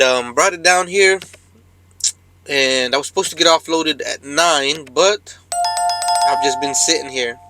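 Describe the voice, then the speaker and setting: A man with a bright, forceful, slightly high voice talks off the cuff to his viewers. He rambles in a laid-back, slangy way, like a trucker on his vlog. The sound is close and dry. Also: dry recording. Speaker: truck driver vlogger. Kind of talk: casual chat to viewers. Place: cab of a parked truck.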